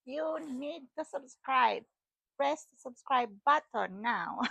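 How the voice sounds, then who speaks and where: Slow, gravelly Cebuano-accented Filipina woman. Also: high-pitched, lively intonation, fairly bright tone, no room sound. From Philippines. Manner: rambling, repeats phrases, laughs often. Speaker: wellness vlogger. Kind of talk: casual talk to camera.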